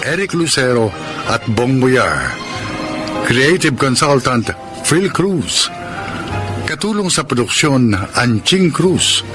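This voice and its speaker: Male drama announcer, unhurried and deliberate, animated intonation, music underneath. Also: mid-range voice. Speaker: announcer and narrator. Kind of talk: scripted radio drama announcing.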